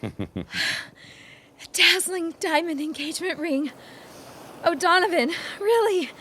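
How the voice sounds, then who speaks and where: An actress playing a refined young widow of 1916 in a period audio drama, speaking in genteel, formal, old-fashioned phrases. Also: female voice, very high-pitched, slow, sharp tone, heavily acted. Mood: overwhelmed. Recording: clear background ambience noise.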